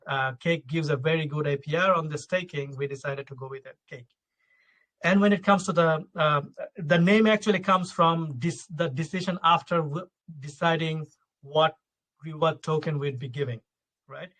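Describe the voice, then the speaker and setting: Young Bangladeshi-born founder, fairly high voice, rambling and casual, forever tacking on "right?". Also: male voice, fairly bright tone. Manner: loose, repeats "right?" and restarts often. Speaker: token project founder. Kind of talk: live audio AMA talk. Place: Luxembourg.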